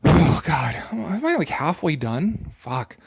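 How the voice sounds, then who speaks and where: A man's voice: on the high side, very animated, takes it slowly, breathy edge. A Canadian man giving casual, opinionated commentary on an article he reads aloud, sarcastic, exasperated and given to swearing.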